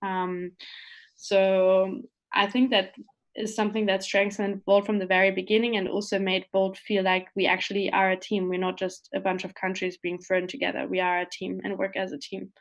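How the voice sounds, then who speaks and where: A woman's voice: mid-range voice, level tone, normal speed. A woman, a guest speaker, answering earnestly on a video call.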